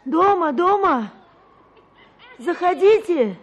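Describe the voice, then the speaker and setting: A woman's voice: extremely high pitch, lively intonation, slow, exaggerated voice. An actress playing a poor village woman in a Russian radio drama. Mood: surprised, welcoming. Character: startled poor village woman welcoming a visitor. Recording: dull, faintly noisy radio play recording.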